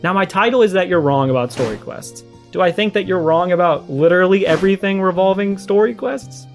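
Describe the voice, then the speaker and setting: A man's voice: high-pitched, brisk. A man giving casual, conversational but argumentative commentary for a response video, leaning on key words. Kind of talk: casual commentary to camera or mic. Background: background music.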